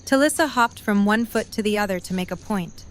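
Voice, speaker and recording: A woman's voice: mid-range voice, fairly quick. A woman narrator reading a scripted story for an audio drama.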